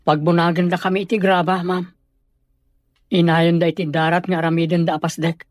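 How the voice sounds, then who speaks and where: A man's voice: a little high, level tone, a little fast, no room sound, clean studio sound, close to an everyday voice. An actor playing an earnest, defiant young village fisherman, plain-spoken, in Filipino radio drama style. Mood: aggrieved.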